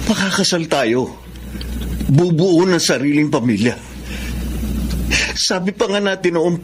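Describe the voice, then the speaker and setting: A man's voice: on the high side, close to an everyday voice. A male actor playing a devoted, betrayed young boyfriend in a melodramatic radio drama, earnest and emotional. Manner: earnest, emotional, emphatic pleading. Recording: noisy radio drama recording.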